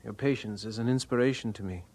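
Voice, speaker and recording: An actor playing an earnest, devout young missionary in an audio drama, speaking with halting fervor. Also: male voice, fairly low pitch, a little mellow. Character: earnest, devout young male missionary, self-deprecating and tender-hearted. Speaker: actor in the lead role.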